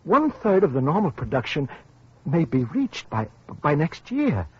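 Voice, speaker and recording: An actor playing a weary, earnest survivor of atomic war, narrating haltingly in an old-time radio drama style. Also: male voice, on the high side, wildly swooping pitch, breathy edge, exaggerated voice. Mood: grave, moved. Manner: halting, hesitant, repeating words. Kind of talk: 1940s-50s radio drama acting and narration. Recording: dull old radio recording, music behind.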